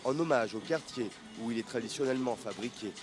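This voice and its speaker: A man reading a scripted news report as a voice-over in a measured way. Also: mid-range voice, over music. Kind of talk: scripted narration.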